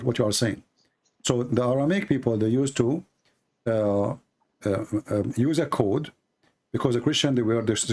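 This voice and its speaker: A man's voice: mid-range voice, darkish tone, breathy edge. A man hosting a call-in show, talking in a rambling way with many fillers and restarts. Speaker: host of a call-in debate show. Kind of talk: casual call-in talk.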